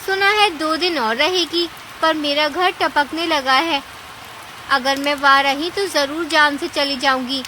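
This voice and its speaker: Woman doing a chatty clever cartoon birdie, sympathetic and coaxing, high voice, faint rainy noise. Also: unhurried, pushed and bright, low-quality recording. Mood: chatty, pleading. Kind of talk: Hindi cartoon story acting.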